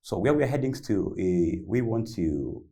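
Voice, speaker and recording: Deep-voiced young man, halting speech. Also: sing-song, vocal fry, warm tone. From South Sudan. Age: young adult. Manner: halting with many repeats and fillers, loose grammar. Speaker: panelist, youth founder. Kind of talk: panel discussion, personal story.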